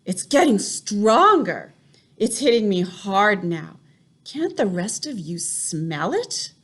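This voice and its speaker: A woman voicing an alarmed female witch who cries out loudly and urgently in a high voice. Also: takes it slowly. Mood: insistent. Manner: loud, urgent, high and excited.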